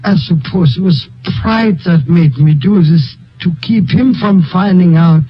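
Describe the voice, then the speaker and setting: An actor playing Hans, a proud, ashamed pianist, speaking haltingly in a 1950s radio drama. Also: male voice, high-pitched, faint music behind. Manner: hesitant, halting, slow. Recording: dull old radio broadcast.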